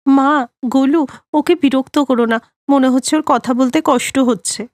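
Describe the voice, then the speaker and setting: A woman's voice: high-pitched, fairly even intonation, measured pace, a little mellow, dry recording, studio quality. A woman voicing a devoted, determined young village wife and mother in a cartoon story. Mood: anxious, caring.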